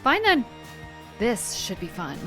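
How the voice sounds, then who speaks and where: A cocky superpowered woman played by an actress taunts in a lively, swooping voice. It is audio drama acting with music clearly behind. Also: fairly high pitch, slow, exaggerated voice. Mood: taunting. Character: cocky, size-changing female fighter relishing a brawl.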